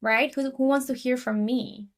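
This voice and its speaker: A woman's voice: high-pitched, fairly even intonation, moderate pace. A woman from Argentina with an Argentinian accent, chatting casually as a podcast guest with many fillers and restarts. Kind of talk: casual interview talk.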